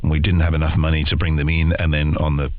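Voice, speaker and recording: A man with an Australian accent and an extremely deep voice talks in a loose, rambling conversational style. The audio is dull and narrow, with loud noise and clicks over it. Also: completely flat delivery, fast. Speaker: interview guest, podcast co-host in voiceover and audio. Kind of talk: casual conversational interview.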